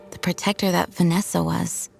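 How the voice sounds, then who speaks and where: A noble young woman knight in a fantasy video game. She speaks formally and earnestly, with measured phrasing and a strong sense of duty. Music plays behind her voice. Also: fairly low pitch, level tone, creaky. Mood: earnest, reverent.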